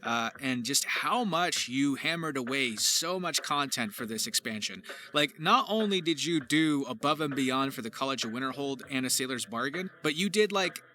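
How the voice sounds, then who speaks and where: A man's voice: mid-range voice, expressive, normal speed, sharp tone. A man talking casually as a voice actor and writer about his creative work, in an effusive, emphatic and wordy way. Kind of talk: casual question-and-answer behind-the-scenes commentary.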